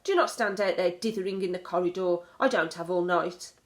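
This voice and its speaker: A woman's voice: on the low side, fairly quick, natural voice. A woman reading as an imperious, snappish witch leader. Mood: impatient, commanding. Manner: snapping, commanding, haughty. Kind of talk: children's storybook reading.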